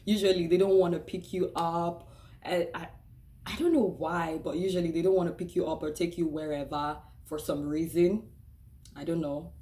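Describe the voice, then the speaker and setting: A woman's voice: deep voice, dark tone. A woman with a Nigerian accent talking candidly in a casual vlog, with many "like"s and "um"s, laughing easily. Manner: chatty and candid, with frequent "like" and "um" and restarts; laughs easily and acts out quoted voices. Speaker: vlogger. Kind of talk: casual personal vlog talk.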